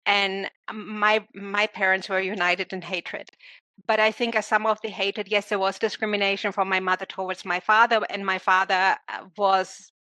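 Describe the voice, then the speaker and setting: This is a woman telling her own story as a podcast guest. She speaks reflectively and often restarts her sentences. Her tone is bright and a little tense, and the recording is dry and close. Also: medium pitch, little pitch movement, normal speed, no echo. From Germany. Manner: reflective, searching for words, frequent restarts. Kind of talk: personal conversational storytelling.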